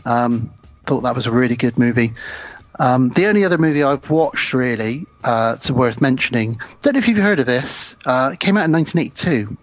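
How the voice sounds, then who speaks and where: A man's voice: medium pitch, expressive, fairly quick, dry recording, lo-fi sound. A man co-hosting a podcast, chatting casually and enthusiastically about films, rambling with many ums. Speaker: podcast co-host. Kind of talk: casual film chat.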